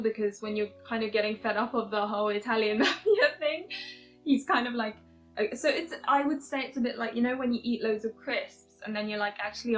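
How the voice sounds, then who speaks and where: Young English woman, higher register, casual and hesitant review chat. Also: rough recording. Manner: chatty, hesitant with many fillers and restarts. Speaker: game reviewer.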